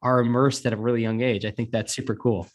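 A man's voice: mid-range voice, flat delivery, quick pace. A man hosting an interview, asking long, rambling questions and reacting with enthusiasm. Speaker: podcast host, interviewer. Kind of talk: conversational interview.

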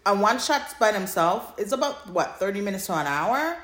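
A woman's voice: medium pitch, animated, moderate pace, fairly bright tone. A woman co-hosting a podcast in casual fan chat, recorded in an echoey room. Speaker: podcast co-host.

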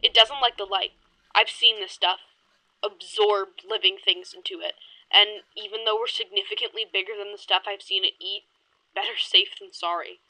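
An actress playing an earnest, cautious woman investigator who asks brisk, direct questions in a horror audio drama. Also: mid-range voice, steady tone, sharp tone, poor audio.

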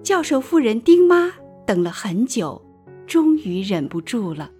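A woman's voice: fairly high pitch, sing-song, a little slow, darkish tone. A woman giving scripted dramatic narration for a radio play. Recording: music under the voice.

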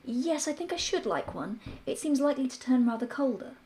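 A woman's voice: sits high, sing-song, a little fast, warm tone, slight background noise, voice pushed up. A woman voicing a prim, fussy male professor who is a firm skeptic. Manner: precise, prim, slightly pompous.

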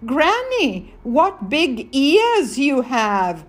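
A woman voicing an innocent little girl from a fairy tale, pitched up. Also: huge pitch swings, drawn-out words, full character voice. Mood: wondering.

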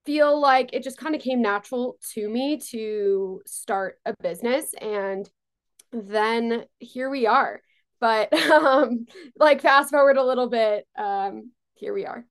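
Twenties woman from new york, chatty, lots of fillers, highish voice. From born in Israel, raised on Long Island, New York. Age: 20s. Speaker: guest entrepreneur. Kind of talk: casual interview talk.

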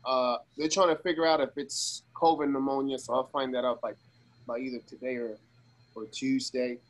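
Male guest from Las Vegas, ill with pneumonia, mid pitch, loose street-casual delivery, halting, repeats for emphasis. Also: steady tone. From Las Vegas, USA. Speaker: guest, socialist activist. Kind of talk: casual interview talk.

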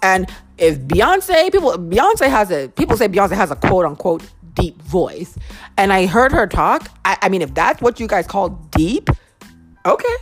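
A young woman hosting her own podcast, talking casually and earnestly, with a naturally low-toned voice and a habit of repeating phrases for emphasis. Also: sing-song, a little slow, faint music behind. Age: young adult. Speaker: podcast host. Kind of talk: casual heartfelt monologue.

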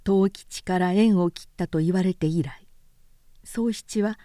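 A woman narrating a literary story in a calm, measured, soft-spoken manner. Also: lowish voice, no room sound, studio quality. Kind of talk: literary reading.

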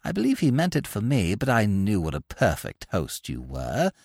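A man voicing a petulant, excitable young gentleman who talks querulously in chummy slang. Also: on the low side, normal speed, vocal fry, slightly rough, no room sound, studio quality, voice pushed down, exaggerated voice. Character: young male English dandy, petulant and excitable.

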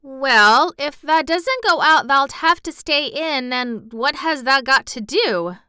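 A woman's voice: high-pitched, lively intonation, slow, pushed and bright, no room sound, clean studio sound, pitched above the performer's own voice, full character voice. A woman voicing a good-tempered young maid with a broad Yorkshire accent, outspoken and talkative. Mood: stout. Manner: broad dialect, rattling and outspoken.

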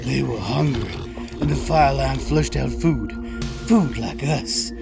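Gravelly, desperate survivor father. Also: medium pitch, takes it slowly, loud music behind the voice. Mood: urgent, fearful. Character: desperate, protective father surviving a post-apocalyptic wildfire. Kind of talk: first-person dramatic audio drama narration.

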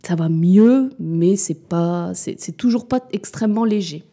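A woman's voice: on the low side, a little slow, dark tone. A woman in her forties, a mother and business owner, answering interview questions candidly, hesitant and reflective, with frequent fillers and restarts. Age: 40s.

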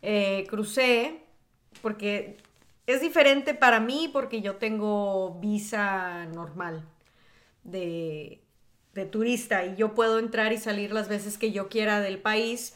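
A woman's voice: medium pitch, steady tone, slow. Woman, northern Mexican accent, podcast co-host, direct, plain explaining tone. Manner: matter-of-fact, corrects firmly. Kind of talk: casual chat.

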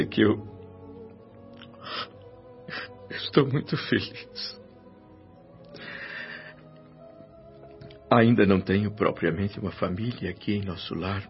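Gentle, low-voiced Brazilian man. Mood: moved, tearful. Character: kind, remorseful male assistant, gentle and emotional. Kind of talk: Brazilian radio drama acting. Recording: dull lo-fi radio drama recording. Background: soft music.

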